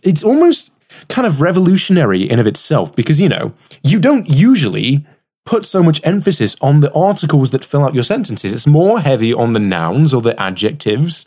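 Podcast host, wry and mock-earnest, lively melodic delivery, husky edge, loose conversational style. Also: mid-range voice. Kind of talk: casual chat, reading poems aloud.

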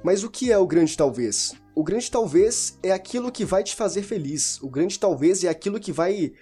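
A man's voice: high-pitched, animated, moderate pace, dark tone, soft background music. A man talking informally about a novel, rambling, restarting and correcting himself as he goes. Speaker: book reviewer. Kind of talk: casual spoken book discussion.

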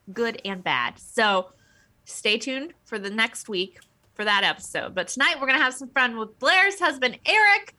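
A woman hosts a relaxed podcast, with a high, bright voice that swings widely. Her bubbly, giggly energy carries through the friendly banter. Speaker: podcast host. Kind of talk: casual chat.

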